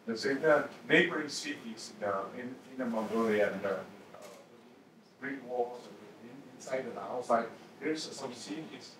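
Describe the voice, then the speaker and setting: A man's voice: mid-range voice, normal speed, a bit bright. Brief: man, audience commenter, faltering delivery, faint hiss behind. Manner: hesitant, many filler sounds. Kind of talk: academic Q&A comment. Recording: echoey room, distant mic, faint noise.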